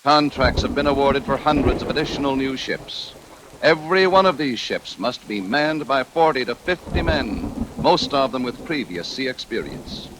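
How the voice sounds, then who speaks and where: A man with a medium-pitched voice announces in 1940s radio style. The reading is formal and emphatic, with faint noise from the vintage recording. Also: animated, normal speed. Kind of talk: 1940s scripted public service announcement.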